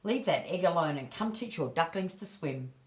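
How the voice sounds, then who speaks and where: A woman's voice: on the low side, lively intonation, moderate pace, natural voice. Storybook old duck, played by a woman: female, disapproving, bossy and blunt, recorded in a roomy space. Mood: bossy advice.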